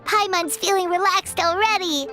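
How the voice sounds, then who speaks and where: A woman voicing a small childlike fairy companion in an anime game, squeaky, curious and easily baffled. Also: moderate pace, bright, forceful tone, soft background music. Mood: cheerful. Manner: refers to self in third person, exclaiming.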